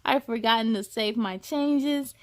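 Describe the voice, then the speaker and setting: Female children's read-aloud host, high voice, expressive storybook delivery, engages young listeners directly. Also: a little slow.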